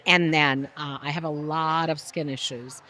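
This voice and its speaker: A woman's voice: low-pitched, fairly even intonation, a little slow, sharp tone, a little hiss or hum. An older woman from Romania, a guest talking casually in an interview, chatty and often laughing. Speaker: guest, business owner.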